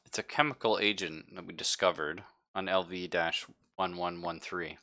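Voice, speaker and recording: A man playing a ship's android who gives measured, factual reports in a tabletop roleplaying game. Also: low-pitched, fairly even intonation, pushed and bright.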